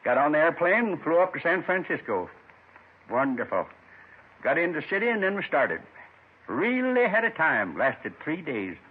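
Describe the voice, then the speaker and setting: Wistful old man, husky, muffled radio. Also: on the high side, sing-song, normal speed. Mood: proudly nostalgic. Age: 70s. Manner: wistful, folksy, rambling in short clipped phrases. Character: lonely, gentle 72-year-old man confessing a robbery. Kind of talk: 1950s radio drama acting. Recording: muffled 1950s radio recording.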